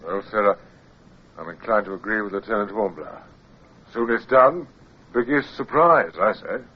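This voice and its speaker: An actor playing a steady, plain-spoken British naval lieutenant in command, in a radio drama. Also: male voice, on the low side, normal speed, slightly rough. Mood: frank, amused. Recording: muffled radio recording, faint noise.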